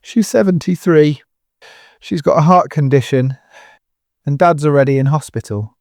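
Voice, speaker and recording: An actor playing an anguished, desperate husband pleading with raw emotion in an audio drama. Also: male voice, fairly high pitch, normal speed, a little mellow, no echo, clean studio sound, natural voice. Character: anguished, desperate husband whose mother is kidnapped.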